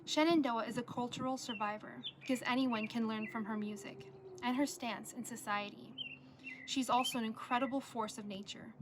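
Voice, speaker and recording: Noticeable ambient noise sits behind a young woman's voice, which is on the high side. She reads a prepared essay aloud like a student presenting her work. Her delivery is earnest and measured. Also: fairly even intonation. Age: young adult.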